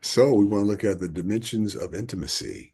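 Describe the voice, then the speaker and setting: Earnest middle-aged man with a lowish voice. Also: lively intonation, brisk, creaky, dark tone, slightly rough. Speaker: tantra workshop co-host. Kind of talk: casual workshop talk.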